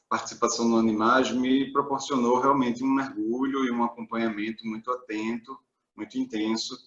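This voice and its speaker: A man's voice: medium pitch, fairly bright tone. Male guest speaker, Brazilian accent, casual lecture tone, echoey room, dull lo-fi call. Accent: Brazilian Portuguese. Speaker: guest speaker, film curator. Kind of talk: lecture over video call.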